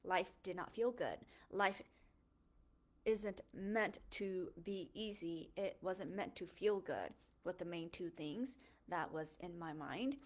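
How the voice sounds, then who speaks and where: Young woman, slightly low voice, reflective personal monologue, earnest, pauses and restarts often. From born in a refugee camp in Thailand, Hmong, raised in the United States. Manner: earnest, thoughtful, with frequent fillers and self-corrections. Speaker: host of a faith podcast.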